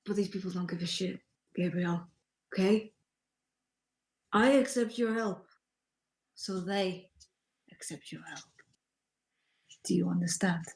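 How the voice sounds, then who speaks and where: A man's voice: sits high, slow pace, long pauses. A woman voicing a blunt, bossy adult man who swears casually and keeps others in line during a tabletop game. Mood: warning. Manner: curt, dismissive, swears casually. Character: gruff adult male tough, bossy and blunt. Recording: roomy echo.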